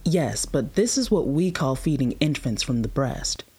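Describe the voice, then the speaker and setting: A woman playing a patient, tired mother in an audio drama, explaining things plainly and with gentle firmness in a husky voice. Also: very deep voice, very animated, a little slow, exaggerated voice. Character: patient, tired human mother of a nursing infant.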